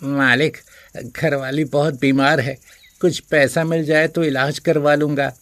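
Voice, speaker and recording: A man's voice: fairly high pitch, level tone, a little slow, fairly bright tone. An actor playing a poor, meek male servant who pleads humbly in a story. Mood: pleading.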